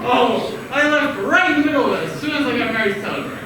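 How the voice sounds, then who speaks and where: Woman as a loud, hearty young brother, high pitch; echoey hall, far mic. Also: quick pace, a crowd in the background, close to an everyday voice. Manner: loud, boisterous. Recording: distant, very echoey, noisy stage recording.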